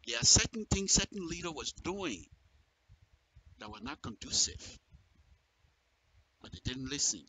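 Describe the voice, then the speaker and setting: Forceful, animated Liberian man. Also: sits high, measured pace, vocal fry, long pauses, breathy edge, low-quality recording. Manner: emphatic, repeats phrases for effect. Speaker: radio commentator. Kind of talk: impassioned political commentary, direct address.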